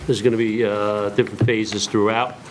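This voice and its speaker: A hoarse man giving a halting, formal presentation to a school board, full of fillers. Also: lowish voice, little pitch movement, slight background noise. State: hoarse, lost voice.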